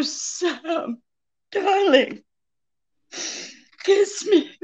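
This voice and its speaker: An actress playing a desperate, seductive murderess in a 1940s-style radio melodrama, imploring with breathless drama. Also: female voice, high voice, a little mellow, lo-fi sound, heavily acted. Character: pleading female murderess, seductive, desperate femme fatale.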